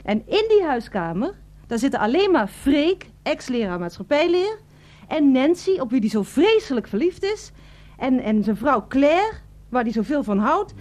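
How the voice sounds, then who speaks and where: A woman's voice: high-pitched, big pitch swings, normal speed, darkish tone. An actress playing an exasperated, bossy director in a radio play, insistent and indignant as she corrects.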